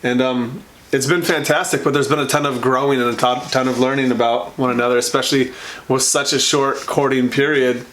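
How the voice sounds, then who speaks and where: A man's voice: medium pitch, steady tone, a little fast, fairly bright tone, a little hiss or hum, poor audio. A man with an American accent sharing personal stories in a conversational way as a vlog co-host. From Washington state, United States.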